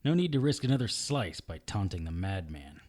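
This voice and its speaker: A man's voice: deep voice, big pitch swings, normal speed, darkish tone. An actor playing a wry, immortal male hero in an audio drama, both speaking in scenes and narrating in the first person.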